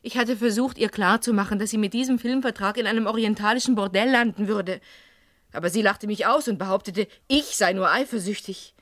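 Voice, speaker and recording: A woman's voice: mid-range voice, brisk, a bit bright, dry recording, clean studio sound, close to an everyday voice. An actress playing a proud, defiant French woman cornered as a murder suspect in a 1980s German radio crime drama, formal and defensive. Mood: confessing.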